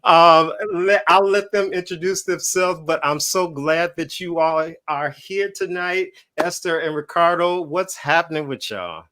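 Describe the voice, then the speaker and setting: A man's voice: on the high side, measured pace, hardly any pauses, bright, forceful tone. Man hosting a casual conversation. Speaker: host.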